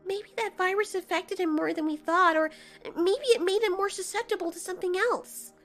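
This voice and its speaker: A woman's voice: very high voice, normal speed, a bit bright, soft background music, pitched above the performer's own voice. A woman voicing a small, timid, earnest creature in an audio story, childlike, polite and questioning.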